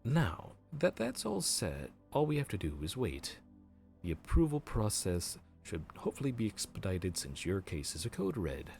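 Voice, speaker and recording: A man voicing a helpful grim reaper clerk in an audio roleplay, polite and bureaucratic as he walks through the paperwork. The voice sits very low but moves up and down a lot. Soft music plays faintly underneath. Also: wildly swooping pitch, normal speed, gravelly, heavily acted. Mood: courteous. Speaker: underworld front-desk worker.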